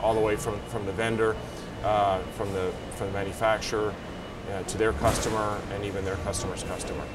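A man in his forties giving measured, halting interview answers as a trade association president. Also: fairly low pitch, level tone. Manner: halting, measured, searching for words. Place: trade show booth, indoors. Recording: noisy trade show floor, slightly distant mic.

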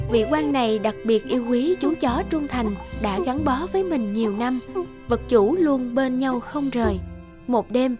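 Woman storyteller over clear music. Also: fairly high pitch. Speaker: narrator. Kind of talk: scripted storytelling narration. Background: background music.

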